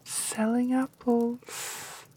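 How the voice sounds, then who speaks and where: A woman voicing a wicked queen disguised as an old woman, speaking in a squeaky, whispered voice. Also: level tone, drawn-out words, mellow, slight background noise, low-quality recording. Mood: pleading.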